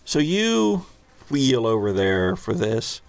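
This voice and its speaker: A man narrating a tabletop roleplaying game as game master, in a halting, deliberate storytelling manner. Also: medium pitch, very animated, gravelly, no room sound.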